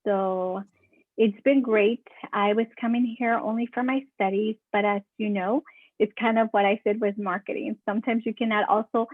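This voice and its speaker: A woman's voice: mid-range voice, fairly even intonation, normal speed, dry recording. A woman from California, a marketing consultant answering podcast questions in a chatty way, repeating words for emphasis. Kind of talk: casual interview answers. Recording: dry, tinny phone-like call audio.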